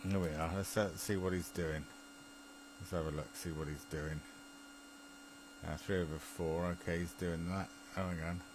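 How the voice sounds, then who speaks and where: A man's voice: sits low, steady tone, moderate pace, background noise. A British man in a rambling, chuckly casual chat, often muttering to himself as he operates an amateur television link.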